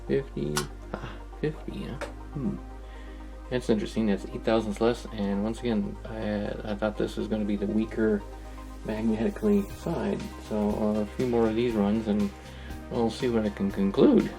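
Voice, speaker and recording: Low-pitched, gravelly man thinking aloud. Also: fairly even intonation, moderate pace, dark tone, soft background music, poor audio. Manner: hesitant, rambling, musing to himself. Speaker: host of a hobby electronics restoration video. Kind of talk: informal workshop narration, thinking aloud.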